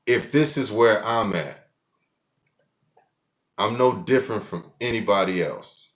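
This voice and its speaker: A man's voice: fairly low pitch, a little slow, fairly bright tone. A man in his forties talking alone on his podcast in a blunt, profane, rambling way, often restarting his sentences. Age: 40s. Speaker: podcast host. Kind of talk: casual monologue.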